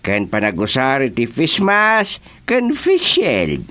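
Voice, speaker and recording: A man's voice: mid-range voice, wildly swooping pitch, slow pace, sharp tone, full character voice. A man playing a bossy, teasing village council chairman in a rural radio comedy drama, lecturing and dropping in English phrases. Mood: stern, official. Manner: lecturing, mixes English into his speech.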